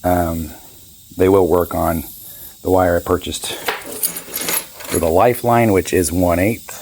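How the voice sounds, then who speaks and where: A man in his fifties with a raspy, hoarse voice, chatting casually and rambling through a boat repair vlog. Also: on the low side, darkish tone, a little hiss or hum. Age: 50s. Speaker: boat owner vlogger.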